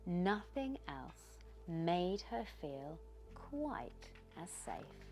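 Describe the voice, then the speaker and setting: A woman reads a children's bedtime story aloud in an expressive, gentle storytelling manner. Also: lowish voice, very slow, soft background music. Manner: expressive, gentle storytelling with questions posed to the listener. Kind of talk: bedtime story read aloud with short asides.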